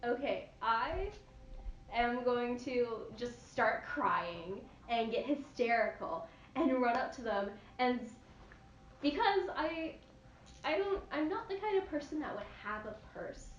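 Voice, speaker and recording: A young woman with a very high voice plays a tabletop game, eagerly laying out her schemes. Her voice is recorded in a roomy space with a dull, lo-fi sound and faint music underneath. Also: animated, slow, a little hiss or hum. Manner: eager, plans aloud with restarts. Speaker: player. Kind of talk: in-character tabletop play.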